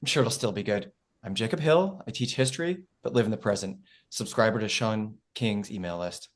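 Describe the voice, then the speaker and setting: A man's voice: fairly low pitch, normal speed. A man playing an earnest, self-conscious young history teacher in a sitcom table read, fussy and protesting.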